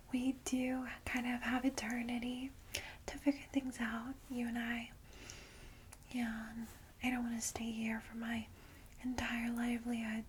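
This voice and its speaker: Woman as a softly whispering, caring vampire guide. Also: a little high, slight background noise, close to an everyday voice. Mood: curious, friendly. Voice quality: soft, breathy whisper. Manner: gentle, reassuring, hesitant asides. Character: friendly female vampire guide, centuries-old, caring and reassuring. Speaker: ASMR roleplayer. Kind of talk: whispered ASMR roleplay.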